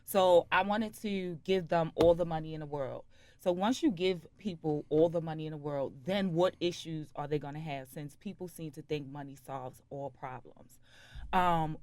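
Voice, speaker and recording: A woman's voice: low voice, a little mellow, no echo. A woman interview guest, a writer and director, explaining a story in a studio interview.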